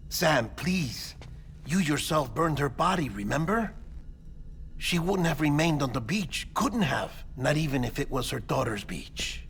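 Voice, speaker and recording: An actor playing an earnest, morose, artificially created man in a video game cutscene, with deliberate, confessional phrasing. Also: on the high side, lively intonation, a bit bright, slight background noise. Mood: concerned. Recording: background music under the voice.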